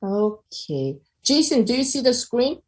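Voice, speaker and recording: A woman's voice: a little high, sing-song, measured pace, a little mellow. An older woman from South Korea with a Korean accent, chatting through a personal testimony on a video call with many fillers. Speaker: presenter.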